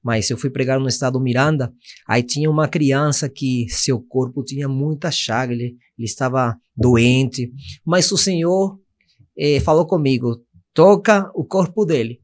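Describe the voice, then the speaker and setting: A man's voice: mid-range voice, moderate pace. A man in his twenties from Venezuela, speaking Portuguese with a Venezuelan Spanish accent, earnestly giving his testimony as a missionary guest and now and then searching for words. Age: 20s. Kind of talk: podcast testimony.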